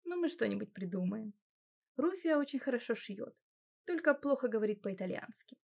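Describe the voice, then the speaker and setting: A woman's voice: on the high side, big pitch swings, moderate pace, vocal fry, exaggerated voice. A woman voicing a polite, slightly hesitant middle-aged housekeeper. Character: fussy attentive female housekeeper, middle-aged.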